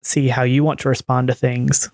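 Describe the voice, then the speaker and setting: A woman in her twenties co-hosts a podcast in a casual, conversational style. Her voice is exceptionally low for a woman. The recording is dry and free of room sound. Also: little pitch movement, moderate pace, darkish tone, no room sound. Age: 20s. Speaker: podcast co-host. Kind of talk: casual discussion.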